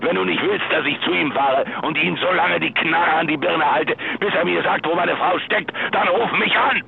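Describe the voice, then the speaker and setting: Agitated, lovesick, threatening husband, radio drama. Halting delivery, thin telephone sound with faint noise behind. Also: male voice, a little high, vocal fry. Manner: agitated, halting, repeats words. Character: jilted husband, desperate, menacing yet lovesick. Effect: mobile phone filter. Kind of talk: German radio drama acting. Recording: noisy phone line from the road.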